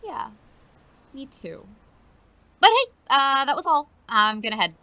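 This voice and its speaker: Teenage student reporter woman acting, audio drama; animated, bright, affectionately teasing, naturalistic. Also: high-pitched, a little slow, no room sound, heavily acted. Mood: awkward, fond. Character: earnest, teasing teenage girl student reporter, loyal best friend.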